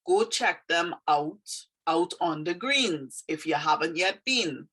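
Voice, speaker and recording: A woman's voice: fairly low pitch, lively intonation, takes it slowly, a bit bright, slightly rough. A woman from Trinidad with a Trinidadian accent and a slight Canadian tinge, a poet explaining her poem in a thoughtful, hesitant way with many fillers. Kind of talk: casual explanatory talk.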